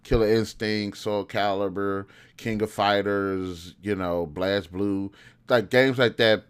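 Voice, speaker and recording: A man's voice: on the low side, steady tone, slow, clean tone. A man, around his thirties, giving casual unscripted commentary as a video host, in a rambling, opinionated, conversational way. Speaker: video host reviewing video game films.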